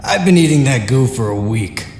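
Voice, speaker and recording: An actor in an audio drama playing a defiant, sly male prisoner with a flat, sardonic way of talking. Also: moderate pace, natural voice. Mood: sly, needling. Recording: echoey room, rumbling background noise.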